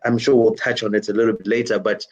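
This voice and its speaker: A man from DR Congo whose first language is French speaks with a fairly low voice. He answers reflectively as an interview guest, often circling back with "you know" and small restarts. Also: level tone, fast talker. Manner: thoughtful, many "you know" fillers and restarts. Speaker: guest musician and worship leader.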